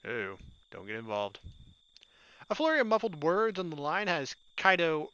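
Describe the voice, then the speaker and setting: A man's voice: fairly high pitch, very animated, moderate pace, pushed and bright, clear, resonant tone, no room sound, lo-fi sound. A young man doing casual gameplay commentary and reading visual novel text aloud. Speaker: let's player. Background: game music.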